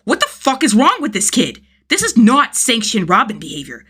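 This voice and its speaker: A woman's voice: on the high side, huge pitch swings, moderate pace, bright, forceful tone, husky, pitched above the performer's own voice, exaggerated voice. A woman voicing a furious armored male vigilante in a story reading, explosive and indignant. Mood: outraged.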